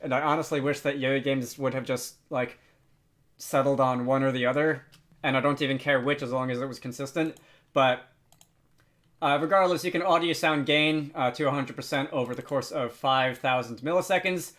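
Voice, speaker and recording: A man hosts a software tutorial with a mid-pitched voice. He explains things off the cuff, often pausing and hesitating as he thinks aloud. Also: steady tone. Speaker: tutorial host. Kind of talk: unscripted software tutorial narration.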